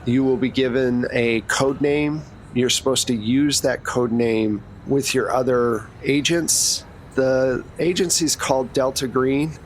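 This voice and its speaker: A man's voice: mid-range voice, steady tone, unhurried, few pauses, slight background noise. A man narrating a tabletop game as game master, improvising in a casual, conversational way.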